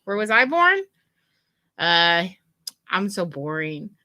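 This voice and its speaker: A woman, co-hosting a casual live chat in an animated, chatty way. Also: fairly low pitch, slow, no room sound, clean studio sound. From West Texas. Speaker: co-host.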